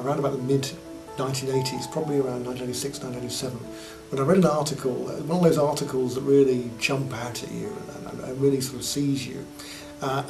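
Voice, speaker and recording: Gravelly man in an echoey room. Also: medium pitch. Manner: reflective, hesitant with fillers. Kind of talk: documentary interview.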